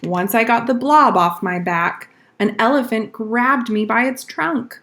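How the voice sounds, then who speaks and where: Woman reading as an earnest, unhurried schoolboy storyteller. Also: a little high, fairly bright tone. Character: schoolboy spinning tall-tale excuses for lateness. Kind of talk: storybook read-aloud.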